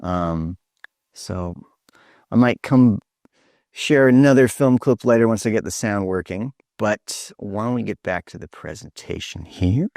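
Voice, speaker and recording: A man hosting an informal online presentation, speaking in an earnest, halting way over a video call. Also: fairly low pitch, darkish tone, dry recording, studio quality.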